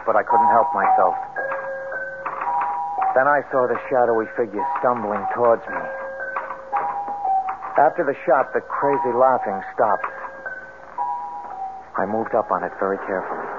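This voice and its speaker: A man's voice: on the low side, normal speed, long pauses, loud music behind the voice, natural voice. An actor playing an anxious, earnest man with an American accent, confessing a grim tale in a 1970s radio drama. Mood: grim, confessional. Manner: earnest, troubled. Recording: tinny radio recording, loud background noise.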